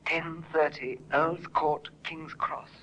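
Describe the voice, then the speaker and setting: A nervous, stammering young artist. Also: male voice, fairly high pitch, fairly even intonation, takes it slowly, lo-fi sound. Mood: urgent. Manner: stammering, pleading. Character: nervous young male artist under suspicion. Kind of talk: 1950s radio drama acting. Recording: noisy old radio recording.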